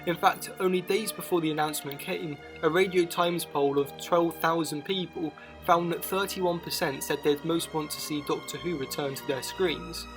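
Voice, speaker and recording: A man's voice: a little high, steady tone, normal speed, hardly any pauses, over music. A man reading scripted narration for a documentary-style video essay.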